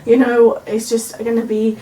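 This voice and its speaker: A woman's voice: fairly high pitch, completely flat delivery, normal speed, warm tone. Young woman, Welsh accent with Australian traces, casual vlog chat, slightly distant mic. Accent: Australian-tinged Welsh. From Wales. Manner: chatty, rambling, with frequent "um"s. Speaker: YouTube vlogger.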